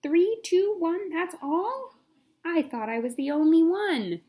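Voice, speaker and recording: A woman's voice: very high-pitched, expressive, takes it slowly, warm tone, pitched above the performer's own voice, exaggerated voice. A woman reading a picture book, voicing an anxious little girl of five in a dramatic, earnest, exclamatory way. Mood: surprised, relieved. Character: anxious five-year-old girl, dramatic, dreading kindergarten. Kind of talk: picture-book read-aloud.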